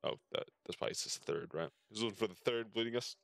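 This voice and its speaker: Low-voiced man streaming a game. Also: fast, gravelly, no room sound. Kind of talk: reading game dialogue aloud with casual reactions.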